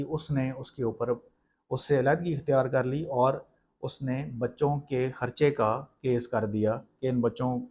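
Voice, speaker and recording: A man's voice: mid-range voice, a little mellow, slight background noise. A man with a Pakistani accent explains law in an informal lecture style, mixing in English legal terms.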